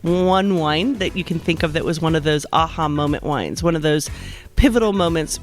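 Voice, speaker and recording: A woman hosting a casual podcast interview, enthusiastic and quick to react to her guests. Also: low-pitched, faint music behind. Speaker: interviewer, host.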